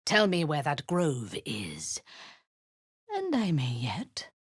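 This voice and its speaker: An actress playing a cold, imperious female drow warlord in a video game, commanding and menacing, sometimes dropping to a husky whisper. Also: deep voice, huge pitch swings, slow, no room sound, studio quality, exaggerated voice.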